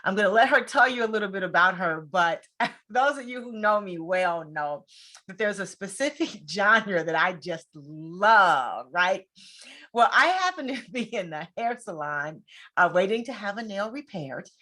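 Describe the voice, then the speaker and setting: A woman's voice: mid-range voice, lively intonation, normal speed, sharp tone. An American woman hosting a podcast in a chatty, informal, conversational way. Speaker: podcast host.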